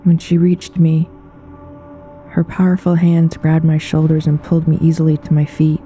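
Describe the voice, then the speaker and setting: Dark-voiced woman narrating a horror tale. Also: low voice, completely flat delivery, normal speed, soft background music. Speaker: narrator, paranormal storyteller. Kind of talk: scripted horror story narration, read aloud.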